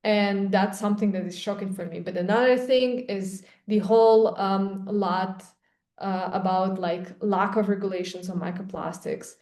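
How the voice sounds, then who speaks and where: A woman's voice: medium pitch, steady tone, moderate pace, darkish tone. A young woman from Kazakhstan with a Kazakh accent, answering interview questions about her research in an explanatory way, with frequent ums and restarts. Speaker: microplastics researcher, guest. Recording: echoey room.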